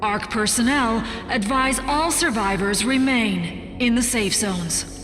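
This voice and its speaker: High, reverberant emergency broadcast announcer. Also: female voice, a bit bright. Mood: urgent, composed. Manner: measured, official, serious. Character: formal female resistance emergency broadcast announcer, urgent and composed. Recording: long reverberant announcement over music.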